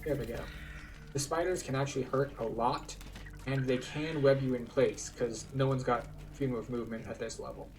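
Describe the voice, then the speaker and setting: A man's voice: mid-range voice, steady tone, moderate pace, darkish tone, far from the mic, slight background noise. A man giving a casual, explanatory commentary as he guides viewers through a video game. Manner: explanatory, conversational.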